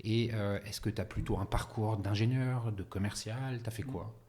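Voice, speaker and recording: A man's voice: on the low side, steady tone, normal speed, a little mellow. A man hosting an interview podcast, speaking to the listener and guest in an informal way. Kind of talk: podcast introduction and conversational interview.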